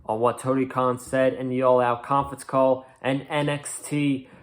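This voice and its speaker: A man's voice: medium pitch, flat delivery, moderate pace. A man hosting an informal online news video, reading out notes and adding earnest fan commentary in a casual way. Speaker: host of a wrestling news video channel.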